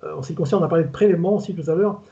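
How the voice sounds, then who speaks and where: An older man with a southern French accent talks very fast over a dull, lo-fi video call line. His voice is mellow and dark and sits a little high, and he rambles in a colloquial way, stumbling and repeating words as he goes. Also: creaky. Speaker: retired food safety inspector, guest expert. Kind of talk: casual webinar talk.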